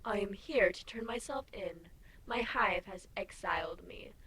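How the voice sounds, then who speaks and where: A man voicing a haughty, contemptuous exiled changeling queen with a breathy, imperious hiss, in a dramatic fan fiction reading. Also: high-pitched, a little slow, bright, forceful tone, voice pushed up. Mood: cold, formal.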